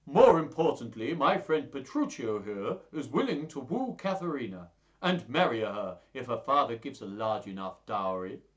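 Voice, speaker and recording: A man's voice: mid-range voice, big pitch swings, moderate pace, lo-fi sound, natural voice. An earnest male suitor in a storybook, performed by a man reading. He pleads and presses his case emphatically, his intonation rising and falling a great deal. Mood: businesslike. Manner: emphatic, would-be common.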